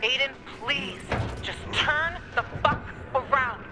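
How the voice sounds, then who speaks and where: A woman's voice: high voice, slow. An actress playing a tough, brave young woman fighter in a video game, talking bluntly and urgently, with a noisy recording. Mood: determined. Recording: noisy game cutscene audio.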